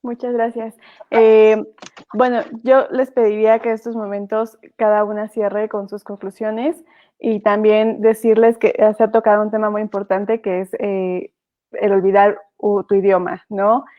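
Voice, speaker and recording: A woman's voice: mid-range voice, little pitch movement, measured pace. Female panel host, Mexican accent, middle register, hesitant conversational phrasing, narrow telephone-like call sound. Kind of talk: online panel discussion. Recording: thin phone-like video call audio.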